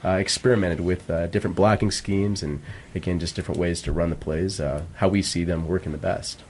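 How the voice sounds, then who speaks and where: A male American coach with a very low voice, answering questions in a casual radio interview. He sounds modest and polite, with faint noise behind him. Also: fairly even intonation, brisk, a little mellow. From Michigan, United States. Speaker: football coach, interview guest. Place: radio studio. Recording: clear background noise.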